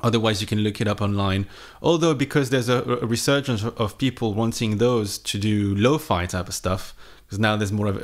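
A man in his thirties chatting casually and unscripted, like a music vlogger talking to camera from his home studio. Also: fairly low pitch. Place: small home studio room indoors. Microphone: microphone on a boom arm, close.

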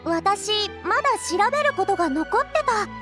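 A woman's voice: very high-pitched, expressive, moderate pace. A woman playing an innocent, trusting little nonhuman girl in a Japanese game dub, with sweet, artless, childlike phrasing. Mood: remembering, earnest. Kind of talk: Japanese anime game voice acting. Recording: music behind the voice. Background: game background music.

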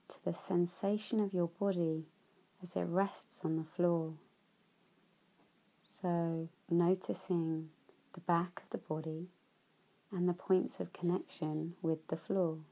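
A woman guides a deep relaxation practice in a low, mellow voice. She speaks very slowly and gently, letting many phrases fade into a breathy whisper. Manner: soft, slow, with whispered phrase endings. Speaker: yoga nidra teacher.

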